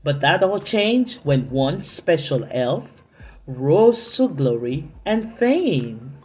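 A woman reading a story aloud for children, deliberately, with dramatic emphasis and drawn-out words. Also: low voice, sing-song, a little mellow, faint music behind. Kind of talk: expressive read-aloud narration for children.

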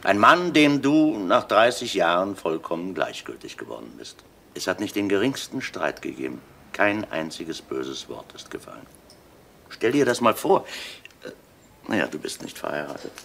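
A low-voiced, weary police inspector. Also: male voice, sing-song, gravelly, fairly bright tone, exaggerated voice. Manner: terse, measured, dry. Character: patient, weary middle-aged police inspector. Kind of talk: old German TV crime drama acting. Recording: noisy old film soundtrack.

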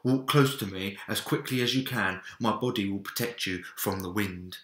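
A man voicing a big, gentle, protective polar bear in a storybook, with slow, emphatic delivery. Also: lowish voice, close to an everyday voice. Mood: urgent, protective. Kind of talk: children's storybook read-aloud. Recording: roomy echo.

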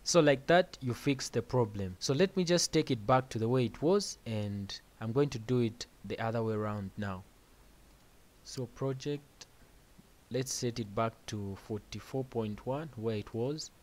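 A man of middle pitch narrates a software tutorial over his screen recording. He explains each step in a plain, unhurried way and drops in casual asides as he goes. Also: slight background noise. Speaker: music production tutorial host.

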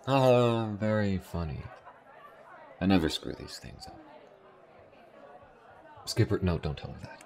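A man playing a gruff, wry alien smuggler who softens toward his lover, speaking in a gravelly, understated way in an intimate audio roleplay. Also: sits low, expressive, slow pace, long pauses, a faint crowd, heavily acted. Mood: dry, amused. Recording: clear background noise under voice.

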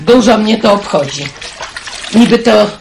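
An actress playing a blunt, earthy old aunt in a radio drama, brusque and dryly teasing. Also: female voice, mid-range voice, expressive, unhurried, vocal fry, a little mellow, a little hiss or hum, low-quality recording. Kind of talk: Polish radio drama acting.

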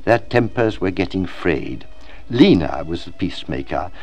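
A man's voice: sits low, lively intonation, unhurried, a little mellow, husky. Man reading a story with very varied intonation. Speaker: audiobook reader. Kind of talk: scripted literary narration, expressive storybook reading.

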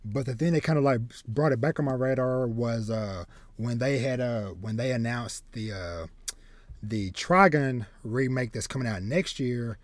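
A man in his thirties chatting casually as a podcast host, haltingly, often repeating words as he goes. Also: medium pitch, no echo. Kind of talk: casual chat about manga and anime. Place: small home room with bookshelves.

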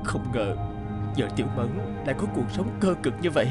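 A man voicing an earnest, righteous young official devoted to his sweetheart in a dramatic animated folktale. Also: mid-range voice, a little fast, over loud music, natural voice. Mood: sorrowful.

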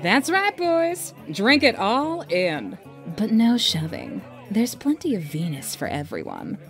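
Woman as vain flirty sea woman; smug, teasing, very lively swings. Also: on the high side, slow, faint music behind, full character voice. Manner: smug, teasing, self-admiring. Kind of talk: fan audio drama acting.

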